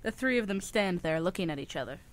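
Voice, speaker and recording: A woman's voice: on the low side, lively intonation, fast, close to an everyday voice. A woman narrating a radio play, reading scripted stage directions in a plain, matter-of-fact way. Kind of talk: scripted present-tense narration.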